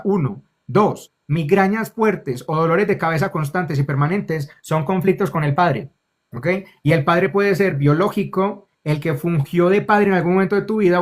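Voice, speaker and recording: A man with a Colombian accent, a spiritual guide explaining ideas in conversation and often checking in with "ok?" and calling the listener lovely. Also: a little high. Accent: Colombian Spanish. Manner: affectionate, keeps checking in with "ok?". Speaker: spiritual guide, interview guest.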